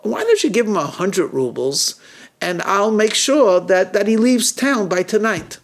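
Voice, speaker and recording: Man playing a commanding, high-voiced storybook rabbi. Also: big pitch swings, exaggerated voice. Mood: calm, persuasive. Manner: authoritative, deliberate. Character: shrewd, commanding male rabbi, stern judge of wrongdoers.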